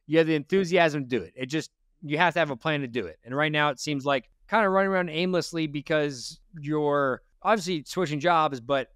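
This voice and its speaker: A man's voice: on the high side, a little fast, a bit bright, smooth, no room sound, studio quality. A man hosting a personal finance interview, coaching his guest in a direct, encouraging way and repeating his key points.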